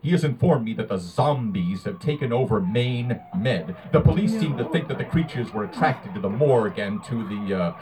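An actor playing a horrified, melodramatic field reporter, halting as he reports from the scene in a radio drama. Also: mid-range voice, expressive, lightly acted. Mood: tense. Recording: distant field broadcast, crowd clamour behind.

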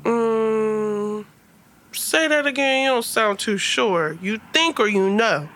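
A woman acting in an audio drama as a sassy, guarded but soft-hearted young woman, with slangy, teasing, expressive delivery. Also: a little high, slow pace. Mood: wary, teasing.

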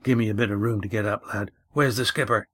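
A man voicing a huge, tough village fisherman who talks in a gruff, blunt way. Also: fairly low pitch, fairly even intonation, fast, fairly bright tone, no echo.

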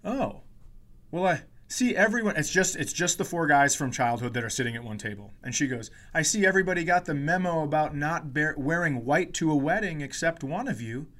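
Male podcast comedian, 40s, higher-pitched voice, casual storyteller with a sweary, exasperated rant style. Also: animated, a little fast, fairly bright tone. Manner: profane, exasperated ranting.

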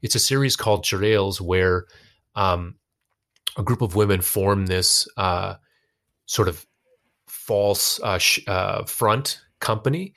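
A man's voice: deep voice, slow, a bit bright. An American man chatting casually as an interview guest, feeling his way through thoughts in informal, meandering phrasing. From United States. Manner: informal, hesitant phrasing with frequent "like" and "you know". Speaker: interview guest, actor and musician.

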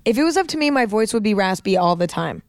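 Raspy young woman, chatty podcast host. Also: mid-range voice, big pitch swings, fast talker, no echo, clean studio sound. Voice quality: raspy today. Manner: chatty, rambling with lots of likes. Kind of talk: casual storytelling monologue.

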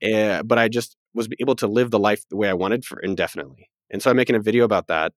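Man, late thirties, rapid talker, joking, low-pitched voice, casual podcast host. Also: no echo, studio quality. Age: 30s. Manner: quick, joking, self-deprecating. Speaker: podcast host, business founder.

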